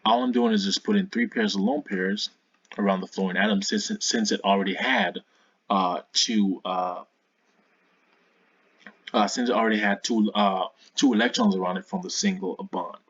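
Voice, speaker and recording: Low gravelly man tutoring, husky. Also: very animated, normal speed, fairly bright tone, no echo, dull audio. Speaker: science tutor, video host. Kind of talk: informal tutorial explanation.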